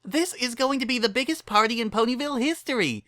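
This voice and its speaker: A narrator voicing an excitable, cheerful young female party pony in a bubbly, insistent manner. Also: medium pitch, fast talker, no room sound, clean studio sound, pitched above the performer's own voice, lightly acted. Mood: eager, bubbly.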